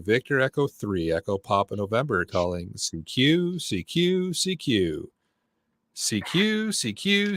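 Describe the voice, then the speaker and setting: A man's voice: medium pitch, fairly bright tone, no room sound. A man working as an amateur radio operator, making repetitive, formulaic calls in a clipped sing-song.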